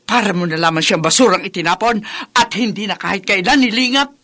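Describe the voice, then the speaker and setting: A woman's voice: medium pitch, brisk, fairly bright tone, natural voice. An actress playing a bitter, sarcastic elderly mother-in-law in a Filipino-accented radio drama, with a biting, reproachful delivery. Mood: angry, accusing.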